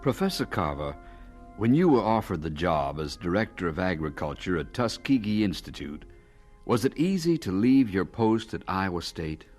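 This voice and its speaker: A sober interviewer in a radio drama. His voice is deep and low. He puts his questions in a measured way. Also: very animated, darkish tone, faint music behind. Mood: measured, probing. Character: sober male interviewer questioning an old professor.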